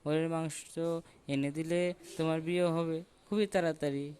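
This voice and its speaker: A man's voice: on the high side, fairly even intonation, moderate pace, a little mellow, smooth, close to an everyday voice. A man voicing a sly, scheming jackal in a cartoon, coaxing and instructing. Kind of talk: cartoon voice acting.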